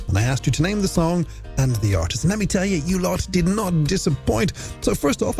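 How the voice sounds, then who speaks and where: Male late-night radio host, lively intonation. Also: mid-range voice. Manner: playful, chatty, addressing listeners directly. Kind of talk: music radio presenting, links and listener game. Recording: radio broadcast over music bed. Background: music.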